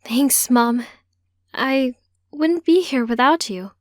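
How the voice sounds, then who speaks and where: A woman's voice: sits high, slow, dry recording, clean studio sound, pitched above the performer's own voice. A woman voicing an earnest, slightly sheepish grown daughter in an audiobook story. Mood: happy. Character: earnest young transgender woman, a teacher, confiding in mother.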